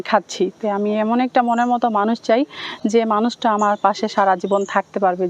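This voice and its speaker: A woman's voice: mid-range voice, a little mellow. A young woman with a Bangladeshi accent giving a plain personal account in an interview, pleading and repeating herself. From Thakurgaon, Bangladesh. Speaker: interview guest telling her life story. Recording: dull lo-fi sound.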